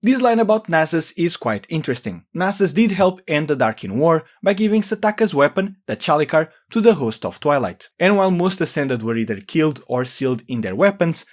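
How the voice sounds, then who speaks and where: A man's voice: on the high side, sing-song, brisk, few pauses. A man narrating an explanatory video about game lore in an informal way.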